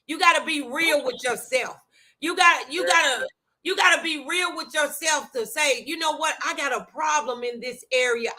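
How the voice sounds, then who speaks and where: Middle aged lady talk show host, sharp high voice, preachy and emphatic, repeats stuff for punch. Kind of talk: motivational talk show chat.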